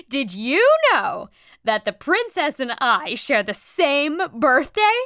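A sweet, innocent young princess raised in a woodland cottage, voiced by a young woman. Her high voice swoops a lot as she talks slowly and gently. The sound is close and dry. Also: very animated, dry recording, exaggerated voice. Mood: laughing. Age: young adult. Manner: gentle, innocent and laughing easily. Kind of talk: audio drama acting.

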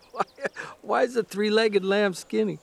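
A man's voice: sits high, steady tone, unhurried, creaky, exaggerated voice. A man playing a good-natured grown son who teases his mother. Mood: easygoing. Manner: teasing and easygoing.

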